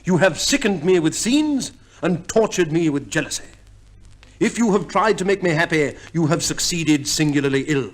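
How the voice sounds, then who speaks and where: An actor playing a hesitant, gentlemanly army major and reluctant husband in a radio play, with a proper manner. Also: male voice, a little high, expressive, normal speed, close to an everyday voice. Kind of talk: 1950s radio play acting.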